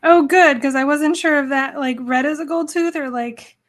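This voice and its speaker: A woman's voice: high voice, fairly even intonation, moderate pace. A woman artist chatting casually while drawing, with dry, offhand humor. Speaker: guest artist.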